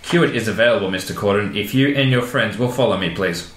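An actor playing a polite underworld attendant in an audio drama, courteous and formal. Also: male voice, on the low side, fast talker, a bit bright, natural voice. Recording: roomy, faint music behind.

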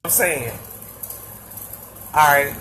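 A woman's voice: sits low, very slow, a bit bright, slight background noise. A woman in a video clip, ranting in a blunt, defiant way with a lot of cursing. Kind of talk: blunt casual rant.

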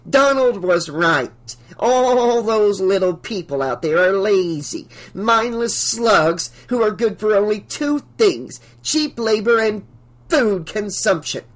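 A narrator voicing a haughty, spiteful older rich woman in an audiobook, with shrill, imperious, scornful delivery. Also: a bit bright.

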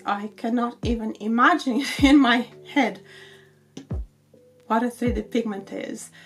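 A woman in her forties with a croaky, slightly husky voice from being unwell, chatting casually to camera in a makeup review, with plenty of ums. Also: fairly high pitch, poor audio. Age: 40s. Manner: chatty with frequent ums, light laughs. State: croaky from illness. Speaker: beauty vlogger. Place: bedroom with shelves, indoors.

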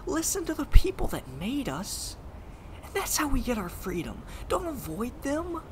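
A woman's voice: high voice, big pitch swings, moderate pace, background noise. A woman acting in an audio drama as a stammering, exasperated, slangy, foul-mouthed superpowered slacker who follows the rules reluctantly. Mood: angry, exasperated.

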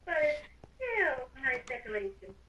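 A woman's voice: high-pitched, big pitch swings, normal speed, pushed and bright. A woman improvising game roleplay in a teasing, playful way. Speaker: player roleplaying on a Minecraft server.

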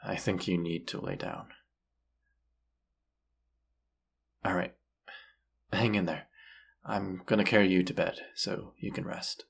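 A man playing a gentle, forgiving vampire hunter and lover in an intimate audio roleplay, speaking tenderly with hesitant pauses. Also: low-pitched, steady tone, gravelly, husky, full character voice. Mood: tender, soothing.

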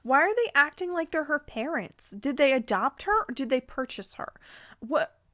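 A woman reading a story aloud informally, breaking in with expressive, amused reactions and exclamations. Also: sits high, normal speed, dry recording, studio quality. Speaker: host reading fan fiction aloud with commentary.

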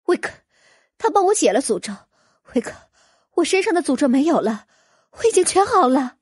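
Woman doing an excitable noble wife in an audiobook, high voice, urgent and all worked up. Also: fairly quick, no room sound, clean studio sound. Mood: frantic joy. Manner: agitated, urgent. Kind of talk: audiobook character acting.

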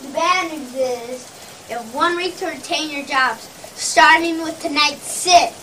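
A child performs a bullying sales boss in a theatrical puppet parody, with a strikingly high voice. The delivery is brisk, emphatic and full of scornful taunts, picked up at some distance over faint noise. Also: pushed and bright. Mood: scornful, commanding. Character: ruthless, bullying sales boss berating his underperforming salesmen.

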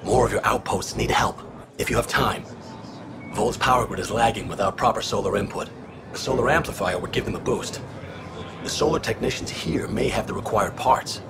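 A helpful, businesslike supply officer in a sci-fi video game. He has a creaky, gravelly edge and a matter-of-fact delivery, over clear outdoor vehicle noise. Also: fairly low pitch, normal speed. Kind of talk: video game dialogue acting.

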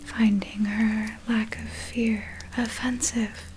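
A woman's voice: fairly high pitch, little pitch movement, takes it slowly, a little mellow, slight background noise. A woman voicing an ageless, eerily courteous vampire in a whispered ASMR roleplay, telling tales in formal, old-fashioned storyteller diction. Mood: hushed, reminiscing. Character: ageless vampire recounting past feedings, eerily courteous, possessive.

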